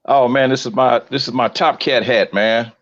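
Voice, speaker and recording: A man's voice: medium pitch, steady tone, normal speed, fairly bright tone. An American man chatting casually as a live stream guest, in familiar, brotherly slang. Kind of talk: casual chat. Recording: dull lo-fi stream connection.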